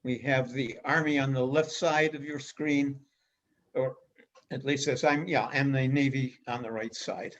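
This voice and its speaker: A man's voice: medium pitch, steady tone. Man lecturing over dull lo-fi audio. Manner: measured delivery with frequent filler pauses. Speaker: guest lecturer on military history. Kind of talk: illustrated history lecture. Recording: dull lo-fi recording.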